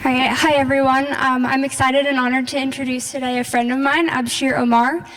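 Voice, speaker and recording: High-voiced woman introducing a speaker. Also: little pitch movement, brisk, a bit bright, a little hiss or hum. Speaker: introducer, council co-director. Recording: reverberant hall.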